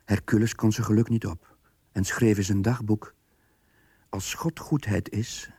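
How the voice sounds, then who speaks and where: Casting: solemn storyteller, warm dark tone with creaky edge, measured scripted delivery, dry close sound. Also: male voice, lowish voice, fairly even intonation, no echo, heavily acted. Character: solemn storyteller reading from a diary. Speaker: narrator. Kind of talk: scripted radio drama narration.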